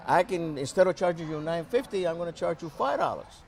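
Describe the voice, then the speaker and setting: A man's voice: a little high, animated, fast talker, creaky, a little mellow. An older man with a mixed Cuban and New Jersey accent, chatting casually as an interview guest, hesitant but good-humored. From Cuba, later New Jersey, USA. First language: Spanish. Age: 60s or older. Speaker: interview guest, flight instructor. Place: aviation museum at an air show.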